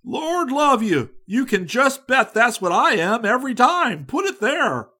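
A man's voice: high-pitched, big pitch swings, normal speed, pitched above the performer's own voice, full character voice. Charlie Adams, a man doing a brash young American. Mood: boisterous. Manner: loud, boisterous, slangy drawl. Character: brash hearty young American male student.

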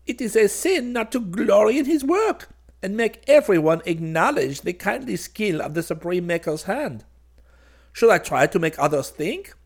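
A man's voice: sits high, voice pushed up, exaggerated voice. A man voicing a vain, pompous bird king in a storybook, speaking in a grandiose, gracious and self-admiring manner. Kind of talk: storybook character voice.

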